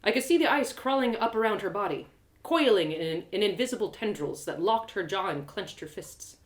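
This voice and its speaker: Expressive woman storyteller with lively pitch. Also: moderate pace. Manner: expressive, slips and corrects herself. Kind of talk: live dramatic reading of fantasy audio drama, first-person narration.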